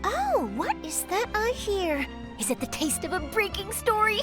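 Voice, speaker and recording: A scoop-hungry young female journalist from an anime game. Her voice is extremely high and very animated, with perky, gushing, theatrical exclamations. Music plays clearly behind her. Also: unhurried, exaggerated voice. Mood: curious. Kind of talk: anime-style video game voice acting.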